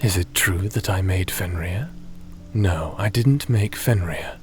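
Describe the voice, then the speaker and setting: A gentle, cautious fantasy guardian acted by a man in an ASMR roleplay. His deep voice moves slowly and deliberately, soothing and slightly formal. Music plays softly behind him. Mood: reflective, explaining. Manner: measured, soothing, slightly formal, sometimes breathy near-whisper.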